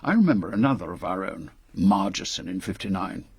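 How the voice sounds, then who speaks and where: Gruff older british spymaster, husky low voice, clipped n bossy, radio play. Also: male voice, sing-song, brisk, no echo, heavily acted. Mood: grave, resolute. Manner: clipped, commanding, formal. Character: authoritative older male British spy chief, brisk and ruthless. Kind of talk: radio drama acting.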